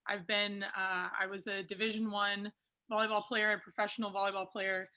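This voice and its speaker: A woman in her thirties introduces herself to the panel in a chatty, lighthearted way. Her voice is bright and clear. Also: mid-range voice, little pitch movement, normal speed. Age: 30s. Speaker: coach and panelist. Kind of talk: casual self-introduction.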